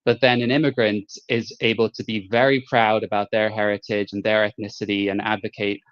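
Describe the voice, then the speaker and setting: A male host asking long, measured questions in a live interview. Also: lowish voice, flat delivery. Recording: dull lo-fi audio.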